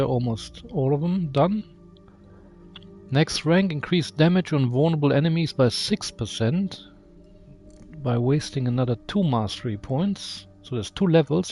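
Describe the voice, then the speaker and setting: A man's voice: mid-range voice, a little mellow. A man giving casual gameplay commentary, musing aloud, reading menu text and asking himself questions. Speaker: video game player and channel host.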